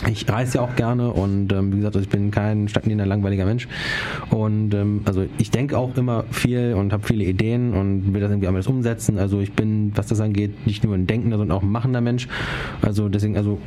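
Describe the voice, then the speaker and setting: A man's voice: lowish voice, steady tone, quick pace, mellow, a little hiss or hum. A man in his twenties, a writer and poet, chatting as a guest in an interview, eager and rambling as he searches for words. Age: 20s. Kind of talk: casual interview chat.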